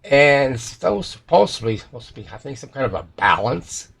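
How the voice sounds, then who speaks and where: Man, mid-pitched, gravelly, casual shop walkthrough, hesitant, self-correcting. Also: very animated, normal speed, a bit bright. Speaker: repair technician. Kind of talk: casual unscripted repair walkthrough.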